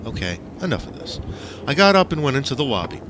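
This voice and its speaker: Man narrating theatrically, very varied pitch, noisy. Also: big pitch swings, fast talker. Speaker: author reading his own stories. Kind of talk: dramatic first-person audiobook narration.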